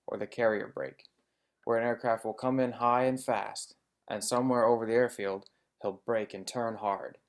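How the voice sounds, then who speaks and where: Male presenter, lowish gravelly voice, plainspoken explainer of his own trade, dryly humorous. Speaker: air traffic controller giving a presentation. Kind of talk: informative slide presentation.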